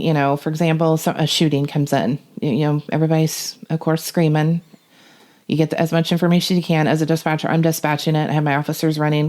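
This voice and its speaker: A woman's voice: sits low, a little mellow. A middle-aged woman speaking plainly and matter-of-factly in a conversational interview as a police dispatcher describing her work.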